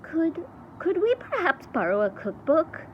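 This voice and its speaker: A woman's voice: very high-pitched, sing-song, measured pace, highs cut off, a little hiss or hum, voice pushed up, heavily acted. A woman voicing a bookish, polite young orphan boy in a children's storybook. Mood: hesitant, polite.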